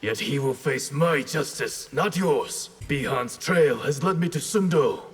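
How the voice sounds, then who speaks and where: An actor playing an honourable, determined ninja warrior in a video game, earnest and formal. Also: male voice, medium pitch, a little slow, slightly rough. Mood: earnest, resolute. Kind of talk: video game character dialogue. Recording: loud noise behind the voice.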